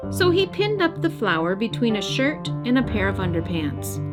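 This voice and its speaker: Animated woman storyteller over music. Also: on the high side, moderate pace. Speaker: children's storyteller. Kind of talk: expressive scripted storybook narration. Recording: music bed behind narration.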